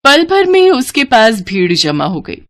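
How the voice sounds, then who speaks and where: A woman's voice: fairly high pitch, wildly swooping pitch, measured pace, no room sound. A woman narrating a cartoon story in a scripted, emotive storybook manner.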